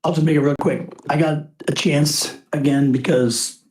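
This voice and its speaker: A school board trustee speaks through a hoarse voice from a cold, a little higher-pitched than most men. His report rambles in a folksy way, full of uh fillers. He is at a board meeting. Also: steady tone, moderate pace, darkish tone, poor audio.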